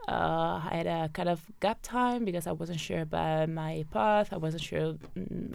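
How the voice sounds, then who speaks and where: A woman's voice: fairly low pitch, creaky, a little mellow, clear, resonant tone, no echo, clean studio sound. A young woman from southern Italy with an Italian accent, chatting as a podcast guest and telling her story with frequent fillers and laughs. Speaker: guest, data scientist. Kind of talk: casual interview storytelling.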